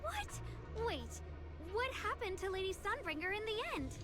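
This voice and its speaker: A woman's voice: very high-pitched, sing-song, moderate pace. An actress playing an earnest, wide-eyed young girl fighter in an anime-style game. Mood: startled. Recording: loud game music behind.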